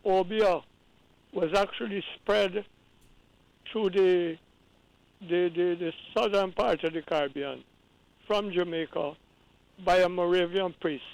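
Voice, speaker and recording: High, gravelly caller from Guyana. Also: male voice, slow pace, long pauses, no room sound, rough recording. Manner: earnest, explanatory, with repeated restarts. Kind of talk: call-in radio explanation.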